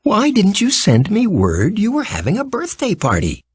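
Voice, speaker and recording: A cheerful little farm girl from a storybook, acted out by a man. The voice is lifted high and swings eagerly up and down. It is excited, affectionate and gushing. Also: normal speed, slightly rough, no room sound, exaggerated voice. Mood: surprised, friendly. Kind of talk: storybook character voice.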